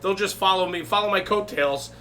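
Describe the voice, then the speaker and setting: A man's voice: high voice, brisk, pushed and bright, a little hiss or hum. A man hosting a podcast in casual, emphatic conversation, often checking in with his listeners. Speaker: podcast host. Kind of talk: casual chat.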